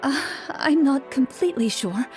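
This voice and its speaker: A woman's voice: high voice, lively intonation, normal speed, a little mellow, faint music behind, pitched above the performer's own voice. A woman voicing a troubled, plain townswoman in a fantasy game, hesitant and polite. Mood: hesitant, grateful.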